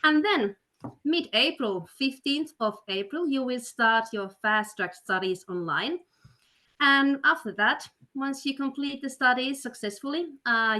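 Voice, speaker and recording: A woman's voice: fairly high pitch, normal speed, sharp tone. Upbeat woman hosting a webinar. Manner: upbeat, persuasive, with many fillers. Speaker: webinar host, study counselor. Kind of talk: webinar presentation. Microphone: video call.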